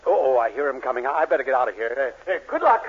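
An actor playing a sneaky, henpecked middle-aged shopkeeper, fussy and stammering, in a 1940s radio comedy. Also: male voice, medium pitch, big pitch swings, rapid-fire, lightly acted. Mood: panicky, conniving. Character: flustered, henpecked, sneaky married drugstore owner. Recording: muffled, scratchy 1940s radio recording.

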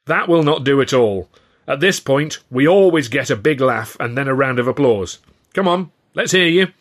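A man's voice: mid-range voice, expressive, normal speed, a bit bright, voice pushed up. A man voicing a pompous, self-satisfied and exasperated stage comedian, declaiming and crowing in an audiobook story. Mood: exasperated, bellowing. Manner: bellowing, declamatory, crowing, petulant.

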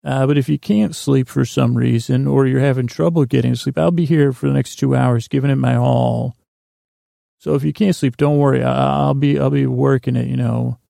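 Gravelly, rambling male podcast host. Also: medium pitch, fairly even intonation, warm tone, dry recording, clean studio sound. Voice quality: gravelly, creaky. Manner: meandering, halting, digressive, loses his thread. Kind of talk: rambling bedtime monologue meant to help listeners sleep.

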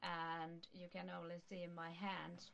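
A woman from Finland with a Finnish accent, chatting casually and haltingly as she searches for words. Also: fairly low pitch, monotone, creaky, a bit bright, slight background noise. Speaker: podcast guest talking about her video diary. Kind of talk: casual chat.

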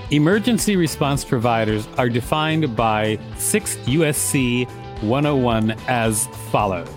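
A man's voice: mid-range voice, lively intonation, a little slow. An American man with a mid-range voice reads an encyclopedia article aloud as a podcast host. Faint guitar music sits behind him, and his reading mixes with casual banter.

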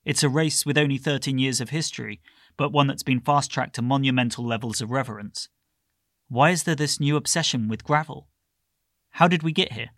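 Male narrator, scripted magazine read, medium pitch, close and dry sound. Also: fairly quick, a bit bright, no room sound. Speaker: reader of magazine article.

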